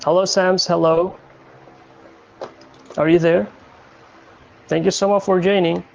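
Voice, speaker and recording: Young man, from Indonesia, livestream host, slightly high, gravelly, faint background noise. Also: little pitch movement, dull audio. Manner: halting, many fillers and restarts. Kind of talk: casual livestream chat.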